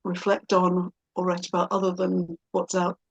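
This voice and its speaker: A woman's voice: lowish voice, monotone, normal speed, lo-fi sound. Middle-aged woman, hesitant and reflective. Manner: hesitant, thoughtful, self-reflective. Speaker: guest in a personal conversation. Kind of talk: reflective casual conversation.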